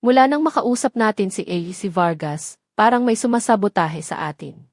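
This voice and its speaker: A woman's voice: mid-range voice, animated, normal speed, dry recording, studio quality. A woman voicing a brave, devoted wife in an audio drama, sincere and steadfast. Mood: suspicious.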